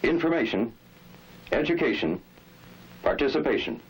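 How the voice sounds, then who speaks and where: A man's voice: medium pitch, expressive, normal speed, husky, poor audio. A man narrating a 1960s instructional film in an emphatic, authoritative, rhetorical manner. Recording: old 1960s film soundtrack, loud music. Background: loud music.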